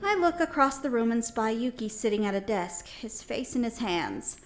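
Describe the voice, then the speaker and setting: A woman's voice: on the high side, very animated, moderate pace, slight background noise. A woman playing an anxious, earnest young female student who narrates a visual novel audio drama in a dramatic, hesitant way. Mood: narrating. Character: anxious, exasperated young female student, new club member. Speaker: voice actor narrating and playing the lead. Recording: music behind the voice.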